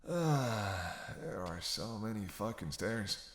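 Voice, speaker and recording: Male actor as captive male vampire for audio roleplay: starving, sardonic, teasing menace, very slow halting delivery, lowish pitch. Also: expressive, slight background noise. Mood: wry, exasperated. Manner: sardonic, halting, breathy sighs between phrases. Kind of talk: roleplay audio drama monologue.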